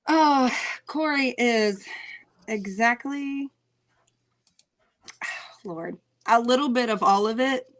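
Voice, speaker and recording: A woman singer talking casually in an interview, chatty, laughing often, with many "um" pauses. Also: on the high side.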